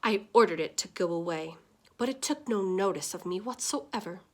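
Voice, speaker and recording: A woman's voice: medium pitch, animated, normal speed, close to an everyday voice. A woman reading as a snooty, bossy upper-class mother with a haughty, commanding manner in a storybook. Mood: haughty, annoyed.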